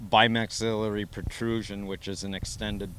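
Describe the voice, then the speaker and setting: An American man giving measured, personal interview answers in an earnest, slightly formal way. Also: on the low side, little pitch movement, fairly bright tone, slight background noise. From Minnesota, United States. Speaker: interviewee sharing his adoption story.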